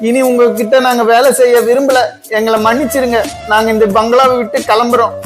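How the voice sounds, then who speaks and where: Comedy skit houseboy, played by a man: deferential, put-upon, earnest, loud music underneath. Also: extremely high pitch, little pitch movement, fairly quick. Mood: hurt, earnest. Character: humble, aggrieved male house servant.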